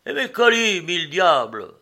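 A man voicing a loud, excitable, indignant fat American passenger in a storybook, shouting volubly. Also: high voice, moderate pace, pitched above the performer's own voice, full character voice.

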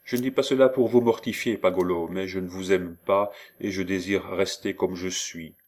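A man voicing a spirited young woman in an audiobook, playful, frank and lively. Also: low-pitched, moderate pace, lightly acted. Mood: frank, teasing.

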